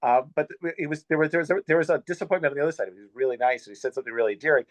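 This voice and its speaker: A man in his fifties hosting a podcast, talking in a casual, halting way that is full of fillers. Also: on the high side, no room sound. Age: 50s. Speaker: podcast host.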